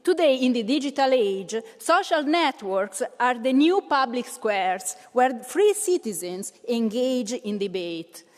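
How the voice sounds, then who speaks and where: A woman interprets a political speech in a higher voice, pausing on "uh" between phrases. Her words ring through a large chamber with a long reverb tail. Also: lively intonation, darkish tone. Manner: hesitant, frequent "uh" fillers. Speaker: simultaneous interpreter in a parliamentary debate.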